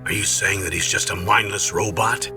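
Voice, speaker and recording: An actor playing an intense soldier asking probing questions in a dramatic way in a video game. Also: male voice, sits low, fairly even intonation, normal speed, over music, natural voice.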